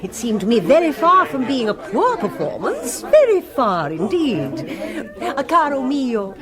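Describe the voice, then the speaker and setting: A high, very animated voice as a haughty middle-aged society queen. She is affected and grandly disapproving, in radio comedy style, with loud music and a crowd of guests behind her. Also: a little slow. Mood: condescending. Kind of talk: radio comedy drama acting. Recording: music and party chatter behind.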